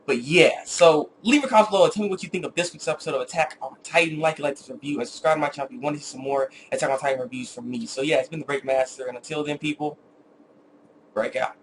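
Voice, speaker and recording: A man casually reviewing an anime episode off the cuff, retelling scenes in an animated, rambling way and acting out quotes. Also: a little high, quick pace, a little hiss or hum, rough recording. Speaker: anime reviewer with his own video channel.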